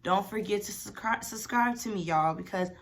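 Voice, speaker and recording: A woman's voice: mid-range voice, moderate pace. A woman of twenty-one, chatty and rambling, answering questions in a casual vlog. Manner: chatty, rambling, lots of "y'all" and "like". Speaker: vlogger.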